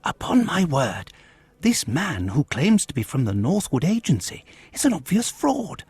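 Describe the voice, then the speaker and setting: An actor with a British accent playing an earnest, courteous gentleman physician in a video game, speaking formally. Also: a little high, huge pitch swings, a little slow, husky, faint music behind, heavily acted. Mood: urgent, earnest.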